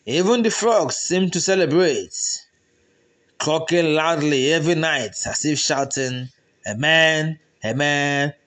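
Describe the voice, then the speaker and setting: Male storyteller, Nigerian accent, somewhat high register, unhurried dramatic delivery for folktale narration.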